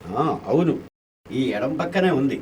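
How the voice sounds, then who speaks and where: An actor playing a boastful, foolish, lecherous villain in a radio play, theatrical and insistent. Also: male voice, mid-range voice, expressive, unhurried, darkish tone, recorded from a distance, a little hiss or hum, lightly acted. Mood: excited, urgent. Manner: theatrical, self-important, insistent. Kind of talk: radio play acting in Telugu.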